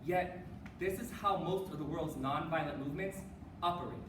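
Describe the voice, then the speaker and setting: A woman's voice: low voice, normal speed. A young adult woman delivering a rehearsed dramatic readers theater speech as one of a student team. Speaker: readers theater performer on a college forensics team. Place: indoor room, live stage performance. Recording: echoey room from far off, noisy.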